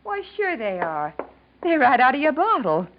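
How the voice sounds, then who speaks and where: An actress playing a brash, nosy American secretary in a 1940s radio mystery, talking in blunt, slangy wisecracks. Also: female voice, high-pitched, wildly swooping pitch, normal speed, a little hiss or hum. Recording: dull vintage 1940s radio recording.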